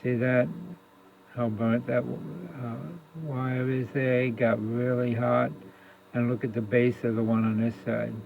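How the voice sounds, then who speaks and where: A man with a creaky, fry-heavy voice gives a casual hands-on demonstration. He talks slowly and haltingly, working things out aloud. The phone recording is dull and lo-fi. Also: mid-range voice, level tone. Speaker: radio repair hobbyist. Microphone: phone camera propped near the workbench. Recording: lo-fi phone recording with faint noise.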